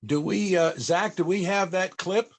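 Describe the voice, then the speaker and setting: Male interviewer, somewhat high voice, feels his way gently into each question. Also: a little slow. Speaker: interviewer, panel host. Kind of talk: conversational interviewing. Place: video call.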